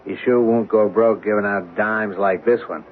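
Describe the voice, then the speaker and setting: A male actor plays a luckless trucker in a vintage radio play. His voice is on the low side, colloquial and world-weary, over a hissy, narrow broadcast recording. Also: steady tone, normal speed, lightly acted. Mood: disheartened. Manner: plain-spoken, colloquial. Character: down-on-his-luck working man, trucker, weary and bitter. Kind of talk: old-time radio drama acting.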